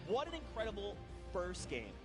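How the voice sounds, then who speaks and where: A man casting a live esports event in an arena, excitable and rambling, his voice strained from shouting. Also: high-pitched, moderate pace. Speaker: esports caster. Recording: live event with music behind.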